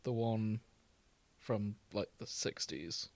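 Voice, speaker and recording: A man's voice: on the low side, slow, dry recording. A British man co-hosting a podcast in casual comedic chat, irreverent, rambling and sweary. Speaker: podcast co-host.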